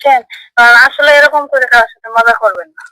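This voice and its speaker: A Bangladeshi woman with a high, bright voice speaks over a phone call. She is composed and firm, pressing questions in a conversational way. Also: fairly quick, no echo.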